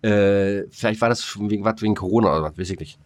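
A man with a German accent bantering casually as a podcast host, in a halting, rambling way that often stumbles. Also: fairly low pitch. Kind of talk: casual banter between friends.

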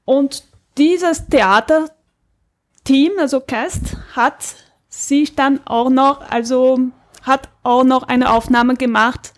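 A woman's voice: sits high, steady tone, takes it slowly. A woman talking casually as a podcast co-host. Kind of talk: casual chat.

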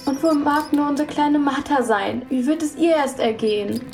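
A woman's voice: high voice, steady tone, normal speed. An actress playing a frightened, pleading young girl in a German radio play, with music behind her. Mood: fearful, pleading. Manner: fearful, pleading, emotional. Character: frightened young girl aboard a medieval ship.

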